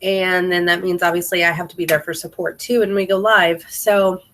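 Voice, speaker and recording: A woman's voice: fairly low pitch, little pitch movement, moderate pace, a bit bright, a little hiss or hum. A woman with a slightly congested voice chatting casually and enthusiastically, rambling along as she hosts a team training video. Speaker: independent sales consultant hosting a team training video.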